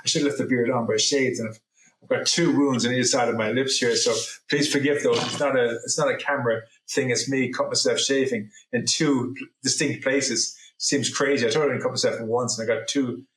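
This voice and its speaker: A man's voice: medium pitch, steady tone, a little fast, a little hiss or hum. Rambling middle-aged man, echoey room. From Ireland. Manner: rambling, self-correcting, earnest. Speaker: guest. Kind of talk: personal testimony in an interview.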